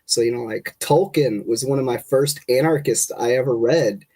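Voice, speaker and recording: Guest: congested man, mid-pitched, talking casually about his background. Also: moderate pace, breathy edge. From Kentucky. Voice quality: nasal from congestion, relaxed rambling delivery. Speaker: guest, state party chair. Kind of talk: casual podcast interview.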